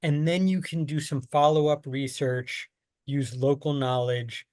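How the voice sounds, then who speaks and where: A man's voice: a little high, steady tone, measured pace, darkish tone, no echo, studio quality. A man from the United States presenting a training lesson on survey design, explaining patiently with plain everyday examples. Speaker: workshop presenter. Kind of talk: online training lecture.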